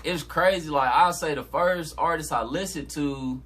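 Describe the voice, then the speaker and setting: A man's voice: on the high side, moderate pace, a bit bright, slight background noise. A young man from Georgia, a rapper talking casually and laid-back in a podcast interview. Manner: laid-back, talks in slang. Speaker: guest rapper.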